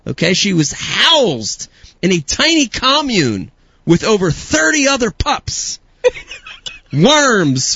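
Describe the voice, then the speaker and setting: A man hosting a casual podcast, playful and hyped, with bits of announcer-style shouting. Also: high voice, slightly rough, dry recording. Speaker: host. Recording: dull dry lo-fi sound.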